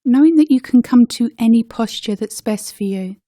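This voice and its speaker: A woman leading a guided meditation as a teacher, speaking gently and unhurriedly with pauses between short phrases. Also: fairly high pitch, no room sound, studio quality.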